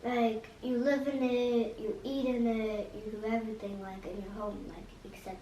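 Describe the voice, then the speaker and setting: High, even-voiced young girl, echoey. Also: moderate pace. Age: child. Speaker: interviewee. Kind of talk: simple casual answers. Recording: echoey, distant mic, background noise.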